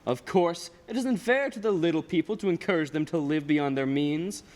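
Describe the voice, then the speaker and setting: A haughty, cold old rich man, acted by a young man in a radio play. He talks down to others in a pompous, scheming way. The voice sits fairly high and rings in a large hall. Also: normal speed, darkish tone, lightly acted. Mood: scornful, condescending. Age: young adult. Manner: pompous, condescending. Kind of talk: filmed radio play acting. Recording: echoey hall with long reverb.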